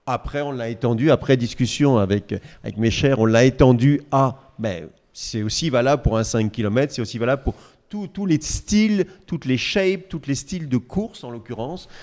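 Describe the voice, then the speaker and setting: A man co-hosts an informal talk show in a medium-pitched voice. He talks in a halting way, correcting himself and slipping in playful asides as he goes. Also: a little mellow. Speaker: podcast co-host. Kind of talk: casual chat before a live audience.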